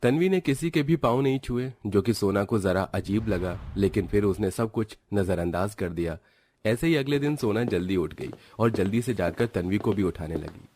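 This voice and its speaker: A man's voice: on the low side, animated, moderate pace, a little mellow, a little hiss or hum. A man reading scripted narration for a cartoon family story.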